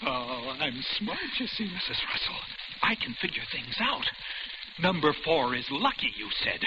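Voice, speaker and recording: Crackly, noisy old radio thriller: deranged paranoid man, boastful and taunting, highish voice that lurches up and down. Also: sing-song, normal speed. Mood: bitter, menacing. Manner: taunting, brooding, boastful, melodramatic. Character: deranged paranoid man menacing his bound victim. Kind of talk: 1940s radio thriller acting. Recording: noisy, dull vintage radio recording with crackle.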